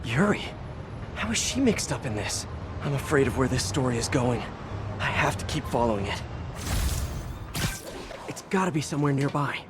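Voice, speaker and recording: An actor playing an earnest young superhero detective in a video game, musing aloud with curiosity and worry over music. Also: male voice, medium pitch, expressive, moderate pace, pauses often, noisy background, lightly acted. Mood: concerned. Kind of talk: video game voice acting, investigative monologue.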